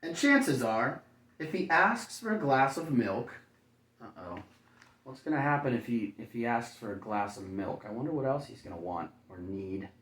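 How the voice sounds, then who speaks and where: A man's voice: medium pitch, animated, moderate pace, fairly bright tone, slight background noise. Guy doing a kids story read-aloud, chatty kindergarten teacher type w/ goofy little asides, echoey room, mic kinda far off. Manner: playful, folksy, chatty commentary. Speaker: kindergarten teacher reading a picture book aloud. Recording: roomy echo, distant microphone.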